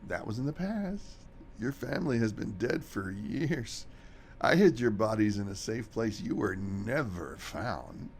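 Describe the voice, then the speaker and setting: An actor playing a panicked, belligerent killer held captive in a radio mystery, swinging between bluster and pleading with a gravelly creak. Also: male voice, fairly low pitch, expressive, a little slow, a little hiss or hum. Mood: defiant. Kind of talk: radio mystery theatre acting.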